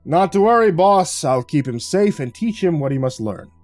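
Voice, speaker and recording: A man voicing a stern, tough older man with an Eastern European accent and formal, deliberate phrasing. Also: medium pitch, big pitch swings, a little mellow, husky, faint music behind. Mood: firm.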